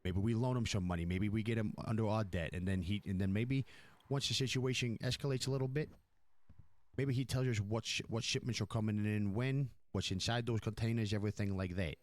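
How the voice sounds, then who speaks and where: A man's voice: fairly low pitch, steady tone, a little fast, a little mellow, close to an everyday voice. A man playing a blunt, commanding, foul-mouthed mafia boss in an improvised crime roleplay game, repeating himself as he gives orders. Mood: businesslike, commanding. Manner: blunt, profane, repetitive, giving orders. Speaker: roleplay player.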